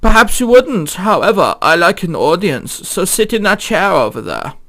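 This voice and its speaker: A man voicing a greedy, gloating evil villain for a visual novel in a put-on German-Dutch accent, taunting in a drawn-out, theatrical way. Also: sits high, big pitch swings, bright, forceful tone, full character voice. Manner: taunting, drawn-out, ominous laughing.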